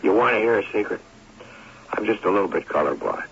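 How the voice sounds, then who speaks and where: An actor playing an earnest middle-aged former athlete in a radio drama, often stammering, on an old broadcast. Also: male voice, lowish voice, lively intonation, quick pace, highs cut off, a little hiss or hum. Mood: warm, nostalgic. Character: flustered, earnest former college football star, middle-aged.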